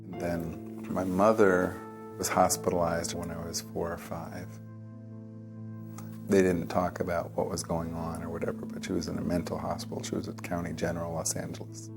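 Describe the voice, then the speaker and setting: Deep, gravelly man telling his story. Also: completely flat delivery, moderate pace, music in the background. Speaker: documentary interviewee. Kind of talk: personal storytelling, reflective interview testimony.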